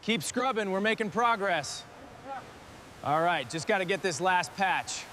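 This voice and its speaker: A man's voice: high voice, animated, unhurried, background noise. A man playing a restoration crew worker, making short practical callouts in acted workshop dialogue. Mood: practical, encouraging. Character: male crew worker calling out progress and warnings.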